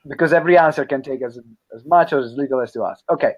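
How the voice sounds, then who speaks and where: A man with an Italian accent, hosting a podcast and reading out listener questions, halting with fillers and restarts. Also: medium pitch, highs cut off. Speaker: podcast host.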